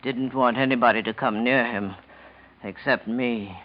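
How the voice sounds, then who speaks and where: An actor playing a polite, scheming bookkeeper who feigns sincerity in a 1940s radio drama. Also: male voice, mid-range voice, steady tone, unhurried, lightly acted. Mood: smooth, instructing. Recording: dull vintage 1940s radio recording.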